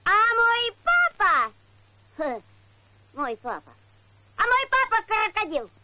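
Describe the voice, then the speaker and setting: A woman's voice: sing-song, slow, sharp tone, exaggerated voice, rough recording. A woman voicing a stubborn, cheeky little boy donkey in a children's cartoon, with a childlike, defiant delivery. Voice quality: high childlike voice. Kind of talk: animated children's cartoon acting.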